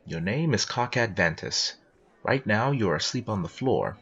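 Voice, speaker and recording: A man playing a playful second-person narrator, reading scripted comic narration in a husky voice. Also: lowish voice, lively intonation, normal speed, fairly bright tone, lo-fi sound, full character voice. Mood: scene setting.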